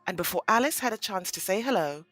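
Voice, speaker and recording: Expressive woman narrating a story. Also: mid-range voice, fast, faint music behind, poor audio.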